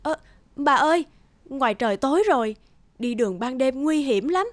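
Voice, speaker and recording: An actress playing a kind young peasant mother in an animated folk tale, gentle and respectful in manner. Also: high voice, normal speed, dry recording. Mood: gently insistent.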